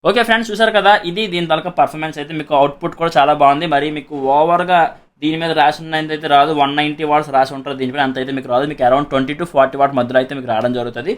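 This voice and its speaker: A man's voice: a little high, fairly even intonation, rapid-fire. A man with a Telugu accent gives a casual product demonstration as a reviewer and seller, explaining repetitively and mixing in English words.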